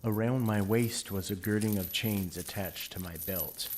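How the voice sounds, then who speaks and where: A man's voice: fairly low pitch, normal speed, darkish tone, soft background music. A man giving dramatic, brooding first-person scripted narration as the narrator of an audio play.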